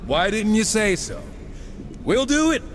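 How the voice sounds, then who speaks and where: A man's voice: high-pitched, big pitch swings, a little slow, a little hiss or hum. An actor playing a wisecracking, impatient male horseman in a video game, sarcastic and flippant. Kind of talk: video game cutscene acting. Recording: long hall reverb, music behind.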